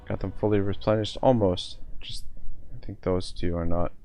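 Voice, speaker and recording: A man's voice: low-pitched, moderate pace, mellow, faint music behind. A man from Nova Scotia, casually commenting on a live game stream, swearing often and thinking aloud with lots of fillers. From Nova Scotia, Canada.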